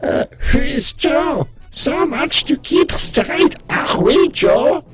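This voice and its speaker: A man's voice: very high voice, lively intonation, slow pace, soft background music, pitched above the performer's own voice, exaggerated voice. A man voicing a dim, chatty masked sidekick in an amateur cartoon-style comic dub. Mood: confused, earnest.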